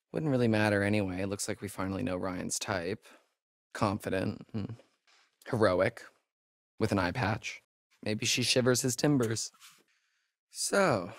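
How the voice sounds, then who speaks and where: Nervous young American wisecracker, gravelly fry, dry close recording, hesitant, sardonic, horror game character. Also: male voice, fairly low pitch, no echo. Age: young adult. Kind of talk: naturalistic video game acting.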